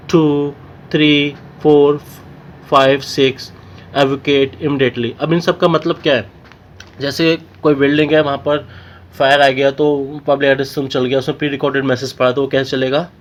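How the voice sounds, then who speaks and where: A man's voice: mid-range voice, fairly bright tone. A man with an Indian accent giving an informal technical tutorial, explaining step by step and often checking in with short tags. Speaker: technical instructor.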